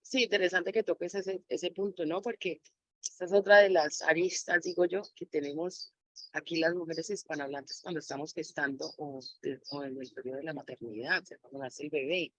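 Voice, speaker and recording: A woman's voice: low voice, fairly even intonation, a little fast, a bit bright, no echo, rough recording. A woman speaking reflectively as an interviewee, sharing personal experience as a mother. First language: Spanish.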